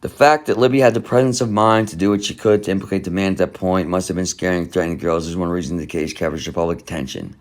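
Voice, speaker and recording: A man's voice: low-pitched, fast, hardly any pauses. A man hosting a true crime channel, reading an article aloud in casual commentary, rambling and skeptical. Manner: rambling, skeptical, hums between passages.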